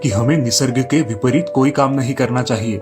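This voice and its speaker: A man's voice: medium pitch, a little fast, music in the background. A man narrating a scripted moral tale for children as a storyteller.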